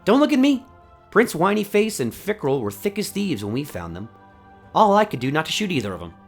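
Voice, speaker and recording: Guy doing a brash wisecracking robot gunslinger, pitched up, flippant, radio play with music behind. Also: huge pitch swings, brisk, gravelly, husky, full character voice. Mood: defensive, exasperated.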